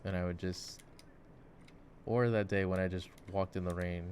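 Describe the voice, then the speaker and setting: A man chatting reflectively and intimately in an ASMR gameplay style, thinking out loud. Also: low voice, fairly even intonation, moderate pace. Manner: reflective, musing. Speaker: ASMR voice actor playing games. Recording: clicking mouse and keyboard noise. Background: mouse clicks and keyboard typing.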